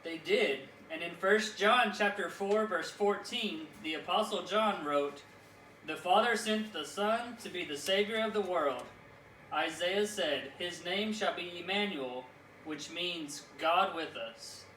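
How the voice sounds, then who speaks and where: A man's voice: sits high, bright, forceful tone. A man voicing a kindly, teacherly talking Bible puppet who explains things in a children's church show. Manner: didactic, measured explaining. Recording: echoey room, distant mic, faint noise.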